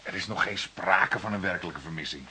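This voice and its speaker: An actor playing a skeptical, outspoken junior police detective in a Dutch radio drama, argumentative and emphatic. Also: male voice, low-pitched, fairly quick, sharp tone. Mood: exasperated, skeptical. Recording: hissy old radio play recording.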